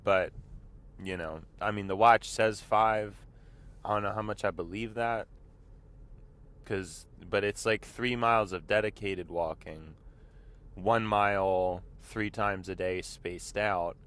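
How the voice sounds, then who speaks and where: A man hosting his own show, talking casually while driving, with a congested voice from a mild illness. He rambles and keeps clearing his throat. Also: fairly low pitch, fairly even intonation, takes it slowly, fairly bright tone. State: congested, slightly sick voice. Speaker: vlog host. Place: inside a car.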